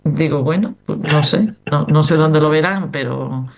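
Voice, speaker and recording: A woman's voice: low-pitched, unhurried, highs cut off. Middle-aged Cantabrian woman, chatty rambling interviewee, strong regional accent. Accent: Cantabrian Spanish. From Cantabria, Spain. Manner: rambling, conversational. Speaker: choir member, interview guest. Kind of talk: casual interview chat.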